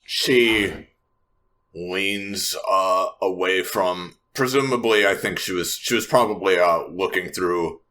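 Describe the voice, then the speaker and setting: A man with a lowish voice plays in a tabletop roleplaying game. He describes his character's actions in the third person. There is some noise behind his voice. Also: a bit bright, rough recording.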